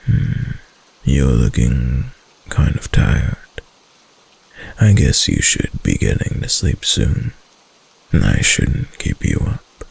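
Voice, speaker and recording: Possessive, lovestruck male kitsune husband played by a man for romantic ASMR: extremely low, husky murmur, tender and unhurried. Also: very animated, heavily acted. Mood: adoring, soothing. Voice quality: deep, gravelly, husky, often breaking into whisper. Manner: slow, soft, adoring murmur with lingering pauses. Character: doting, possessive yandere kitsune husband, deep-voiced and tender. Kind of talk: intimate romantic ASMR roleplay.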